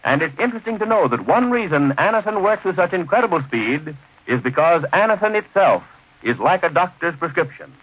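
An American male announcer in classic old-time radio style, formal and emphatic. His voice is fairly high and very lively in pitch. The recording is dull and muffled, with music present. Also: a little fast, breathy edge, dry recording. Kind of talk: 1930s-40s radio announcing, scripted sponsor message.

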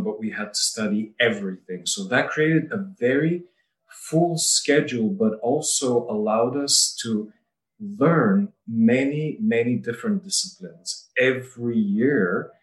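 A middle-aged man from Bulgaria, a lawyer, giving a measured and articulate personal account. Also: fairly low pitch. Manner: measured and articulate, occasional light laughs. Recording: roomy echo, mic at a distance.

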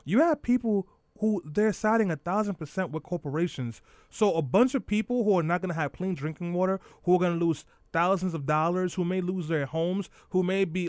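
A man co-hosting a casual talk show about the news, talking quickly and going off on tangents. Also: high-pitched, no echo. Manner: fast, animated, tangent-prone. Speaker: podcast co-host. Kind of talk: casual political chat.

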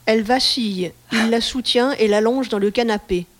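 A woman's voice: on the high side, moderate pace. A woman reading stage directions as the narrator of a scripted drama.

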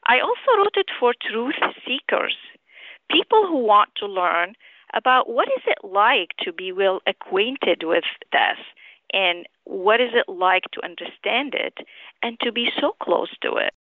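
A middle-aged woman from Syria speaks over a thin, narrow telephone line. She is a guest author answering interview questions in measured, articulate and rather formal sentences. Her pitch sits in the middle for a woman. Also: sing-song, no echo. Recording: tinny narrow phone line.

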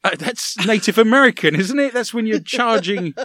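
British man hosting, lively and teasing. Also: high voice, normal speed, a little hiss or hum. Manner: teasing, dryly jokey. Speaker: radio show host. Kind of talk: comic banter and reading listener messages.

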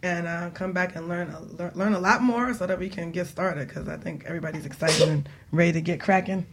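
A woman's voice: fairly low pitch, a little fast, slight background noise. A woman hosting a casual radio talk show in an enthusiastic manner.

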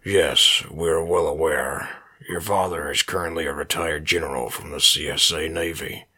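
An actor playing an authoritative space agency officer running a formal interview in an audio drama, in a husky voice. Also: male voice, a bass voice, steady tone, normal speed, pushed and bright, exaggerated voice. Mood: formal, official.

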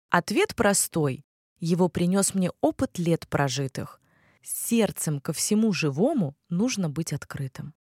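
A woman voicing a kind, wise old village grandmother in a children's fairy tale, speaking gently. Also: deep voice, slightly rough, dry recording, clean studio sound, exaggerated voice.

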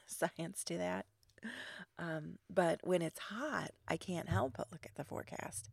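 A woman podcast host from the Chicago suburbs, grandmother age, chatting casually in a froggy early-morning voice, not fully awake, rambling with fillers and soft breathy trailing words. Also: on the low side, no room sound, studio quality. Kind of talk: casual solo podcast monologue.

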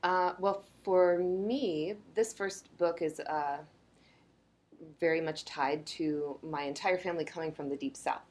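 Low husky woman author. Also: a little slow, darkish tone. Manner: thoughtful, starts with "uh, well". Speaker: author, interview guest. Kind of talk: casual interview answers.

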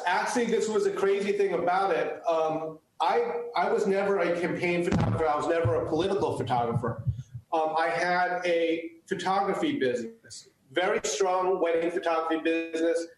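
A man's voice: fairly high pitch, normal speed, soft background music. Guy who grew up speaking chinese, earnest, lots of restarts, echoey room. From United States. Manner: earnest, many restarts and fillers. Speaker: guest, photographer. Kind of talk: interview answers.

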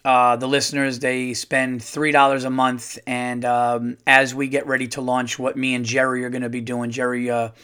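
A middle-aged man, a comedian hosting his own podcast, chatting casually and rambling with lots of fillers, his voice a little hoarse from touring. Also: medium pitch, level tone, normal speed, few pauses, bright, forceful tone. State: slightly hoarse, losing his voice. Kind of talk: casual solo podcast monologue.

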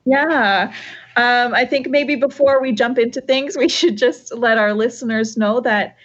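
Chatty woman host, fairly high voice. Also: a little slow, dull audio. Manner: friendly, chatty, many fillers. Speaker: podcast host. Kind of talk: casual remote interview. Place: her home. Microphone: remote call from home.

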